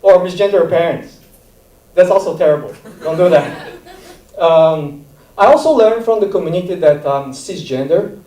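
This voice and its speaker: A man's voice: a little high, dark tone. Guy doing stand-up, non-native accent, laughs at his own bits, mic far off in a roomy hall. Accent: non-native English. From Brazil. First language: not English. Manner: self-deprecating, halting, laughs at own jokes. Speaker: stand-up comedian. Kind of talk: stand-up comedy set. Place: comedy theater stage. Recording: roomy live theater, mic at a distance.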